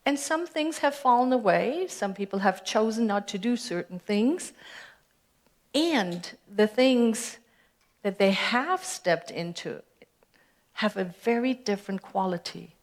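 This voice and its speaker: Slow-speaking woman minister with a German accent. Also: mid-range voice, animated. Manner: conversational, asks the congregation questions. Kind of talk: sermon. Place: church sanctuary.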